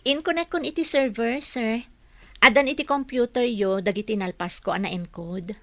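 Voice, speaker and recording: Actress as a high-voiced, polite office coworker. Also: female voice, moderate pace, no room sound, lightly acted. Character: polite, diligent young female office coworker. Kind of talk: radio drama acting.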